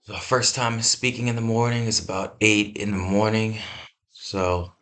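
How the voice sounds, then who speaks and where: A man's voice: lowish voice, steady tone, measured pace, fairly bright tone. A man hosting a laid-back gameplay podcast just after waking up, his voice scratchy and drowsy, rambling easily with lots of fillers and restarts. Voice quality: scratchy morning voice. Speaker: let's-play host.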